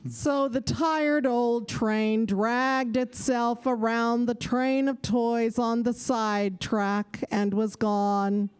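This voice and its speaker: A woman's voice: a little high, steady tone, drawn-out words, few pauses, a little mellow, smooth. A woman narrating a children's story in a dramatic storytelling style.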